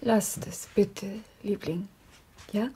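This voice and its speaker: An actress playing a sharp-tongued, sarcastic young wife fed up with her husband in a radio drama. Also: mid-range voice, steady tone, slow pace, slight background noise, natural voice. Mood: irritated, low. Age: young adult. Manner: sarcastic, nagging. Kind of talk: German radio drama acting.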